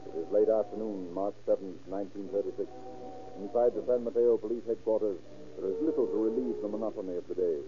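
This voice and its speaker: A man narrating a scripted 1930s radio crime show in a formal, measured, dramatic manner with a husky voice. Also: fairly low pitch. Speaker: narrator and announcer. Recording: dull old radio recording.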